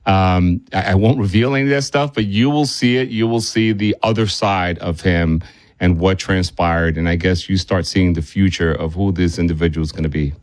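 A man's voice: deep voice, moderate pace, dry recording. A man speaking conversationally as a film director being interviewed, often circling back and repeating himself.

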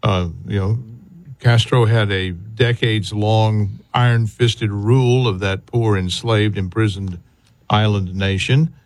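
A man's voice: on the low side, steady tone, a little slow, dry recording. Male interview host, dry close sound. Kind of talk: conversational political interview.

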